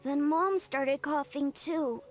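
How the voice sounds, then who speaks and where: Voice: high voice, slow pace, faint music behind. A performer voicing a sweet, sickly little orphan boy who coughs in a game. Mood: innocent.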